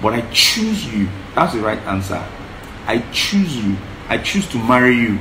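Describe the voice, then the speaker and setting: A man's voice: medium pitch, big pitch swings, normal speed, crowd noise. Yoruba-accented man in his 30s, earnest co-host giving emphatic advice from a roomy living room, mid-range voice. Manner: earnest, emphatic, repeats himself. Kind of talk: casual relationship advice chat. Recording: echoey room, faint hiss.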